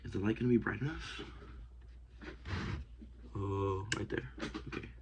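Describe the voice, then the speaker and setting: A young man vlogging casually while working at a desk, rambling and talking to himself with many hesitations and often dropping to a hush. He sounds sniffly and congested. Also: fairly low pitch, a little slow, vocal fry. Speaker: outdoors vlogger. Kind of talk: casual hands-on vlog. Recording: noisy home recording.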